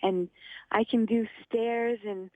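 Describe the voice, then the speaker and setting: A young woman from Ottawa with a slightly raspy voice, an upbeat guest calling in to a radio show and laughing often. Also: fairly high pitch, fairly even intonation, slow pace, no room sound. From Ottawa, Canada. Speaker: phone-in guest. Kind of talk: casual radio interview. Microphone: phone line. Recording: tinny dry phone line.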